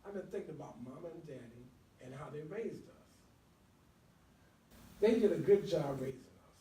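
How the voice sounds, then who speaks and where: Man playing high-voiced guilt-ridden younger brother. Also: animated, moderate pace, mellow, a little hiss or hum, close to an everyday voice. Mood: downcast. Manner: earnest, confessional, colloquial. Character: guilt-ridden younger brother, troubled father, drug user. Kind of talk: stage play skit acting. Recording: echoey room, distant mic.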